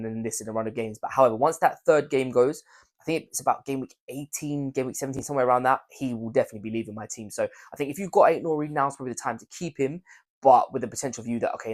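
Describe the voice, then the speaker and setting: A young man talks quickly, his voice croaky from a cold. He rambles and repeats himself in a casual chat with his viewers, at a middling pitch. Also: a little mellow. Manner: rambling, repeats words, hedges with "I think". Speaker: fantasy football YouTuber. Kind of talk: casual video commentary.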